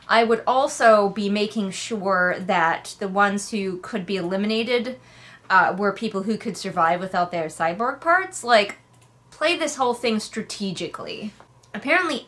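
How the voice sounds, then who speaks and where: Woman doing a casual book readalong, kinda sarcastic and exasperated, chatty, normal mid pitch. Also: fairly bright tone. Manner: wry, exasperated, conversational. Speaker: host of a book readalong commentary.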